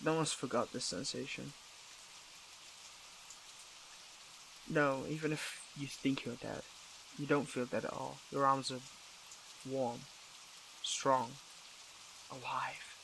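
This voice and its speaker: Man acting gravelly, conflicted, tender superhero. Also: medium pitch, steady tone, fairly bright tone, slight background noise, low-quality recording. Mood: tender, relieved. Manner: halting, earnest, emotional delivery. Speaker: voice actor in an audio roleplay. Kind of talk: intimate scripted audio roleplay acting.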